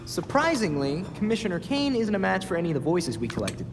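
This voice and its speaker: High-voiced earnest young vigilante. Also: male voice, wildly swooping pitch, fast, noisy background, heavily acted. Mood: matter-of-fact. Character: Robin, earnest young male masked vigilante detective. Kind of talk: video game cutscene acting. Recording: reverberant hall, music underneath.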